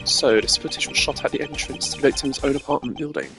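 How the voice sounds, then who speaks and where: Man voicing a formal, measured young prosecutor over music. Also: medium pitch, lightly acted. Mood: assertive, reasoning.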